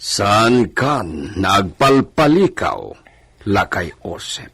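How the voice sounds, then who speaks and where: A man acting a stern, commanding lord of a villa in a radio drama, deliberate and demanding. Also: on the low side, sing-song, fairly bright tone, breathy edge, faint music behind, full character voice.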